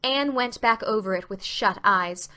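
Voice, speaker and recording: A woman narrating a novel as a dramatic reading, with expressive literary storytelling. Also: on the high side, measured pace, clear, resonant tone.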